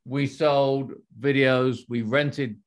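Middle-aged guy, ex-exec answering questions, steady and explanatory, restarts a lot. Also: medium pitch. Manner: measured, explanatory, with frequent restarts. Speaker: former executive, author. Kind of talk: interview answers.

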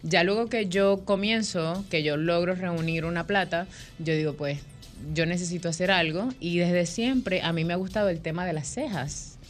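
A woman's voice: lowish voice, moderate pace, faint music behind. Chatty Venezuelan woman telling her story. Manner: chatty, storytelling, laughs easily. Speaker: guest, microblading artist.

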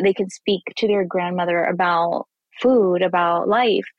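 A woman from Mexico, a vegan chef and food blogger, telling stories in a casual interview, animated and laughing, acting out what people said. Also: medium pitch, no echo, low-quality recording. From Mexico, raised on the Texas border. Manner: animated storyteller who acts out quoted voices and laughs often.

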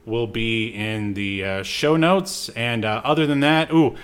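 Easygoing mid-pitched man hosting a podcast. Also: unhurried. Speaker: podcast host. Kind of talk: casual podcast chat.

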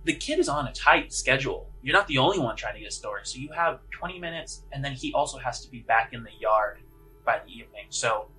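A man's voice: mid-range voice, animated, quick pace, bright, forceful tone, faint music behind, low-quality recording. Man playing a nervous, stammering prison guard. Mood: polite, businesslike. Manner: stammering, hesitant, overly polite. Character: nervous, deferential prison guard, eager and apologetic.

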